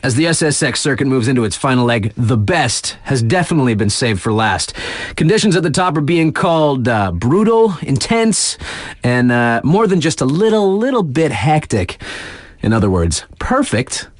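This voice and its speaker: An actor playing a hip, easygoing radio DJ in a video game, giving casual mountain event updates with wry, colloquial asides. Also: male voice, medium pitch, sing-song, moderate pace, no room sound. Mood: hyped, casual. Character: laid-back hip radio DJ for a snowboard mountain.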